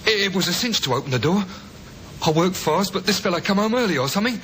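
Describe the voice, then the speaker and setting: A man's voice: lively intonation, quick pace, sharp tone. A man playing a cornered small-time crook in a 1950s radio drama, slangy and agitated. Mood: anxious, confessing. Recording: old radio recording with noise, rumble.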